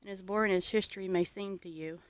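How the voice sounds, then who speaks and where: An earnest homesteader from the United States speaks straight to viewers in a plainspoken, advisory way. The pitch is mid-range and the sound is close and dry, with very little room in it. The delivery is an informal, reflective monologue. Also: steady tone, fast talker, clean tone, dry recording. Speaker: homesteading vlogger.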